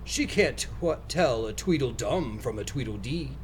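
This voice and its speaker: A man voicing a vain, cruel young prince from a storybook, pompous, contemptuous and quick to anger. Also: mid-range voice, expressive, slight background noise, lightly acted. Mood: dismissive.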